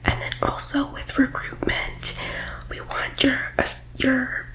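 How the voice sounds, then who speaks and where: Whispering woman, bright and high. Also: slow. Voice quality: breathy whisper. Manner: persuasive team-leader patter. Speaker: ASMR roleplay performer. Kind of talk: whispered, soft-spoken ASMR roleplay as a recruiting sales pitch.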